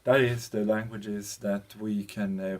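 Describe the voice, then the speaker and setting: A European man giving an informal explanatory lecture as a teacher, speaking in halting phrases with pauses and a husky voice. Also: lowish voice, steady tone, darkish tone.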